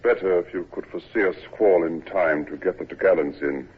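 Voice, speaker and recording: A very deep, husky old sea captain. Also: male voice. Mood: stern. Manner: slow, dry, morose. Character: gloomy old male ship's captain, stern but not unkind. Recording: tinny old radio broadcast.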